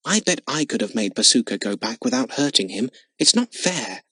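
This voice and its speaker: A man's voice: mid-range voice, sing-song, normal speed, bright, forceful tone, slightly rough, dry recording, full character voice. A man voicing an eager, kind-hearted young circus boy in a storybook reading. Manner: earnest and pleading.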